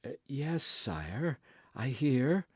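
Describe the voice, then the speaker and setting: A man's voice: mid-range voice, sing-song, a little slow, no room sound, studio quality. A man voicing a frightened queen in an audiobook, stammering and imploring. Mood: fearful. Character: frightened, pleading queen, adult woman. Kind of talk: audiobook character reading.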